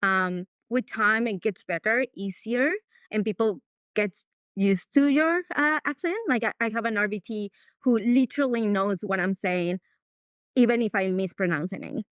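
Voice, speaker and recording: A woman's voice: mid-range voice, normal speed, a bit bright, smooth, no room sound, studio quality. A woman from Mexico with a Mexican accent and Spanish as her first language, answering interview questions conversationally as a veterinarian. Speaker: veterinarian, podcast guest.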